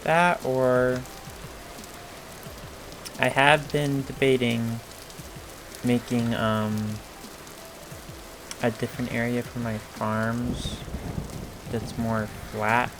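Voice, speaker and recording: A hoarse man giving casual, hesitant commentary over a video game, thinking aloud with frequent pauses and fillers. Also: mid-range voice, level tone, background noise. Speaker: gameplay video creator. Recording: clear game music under the voice. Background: game music and rain sounds.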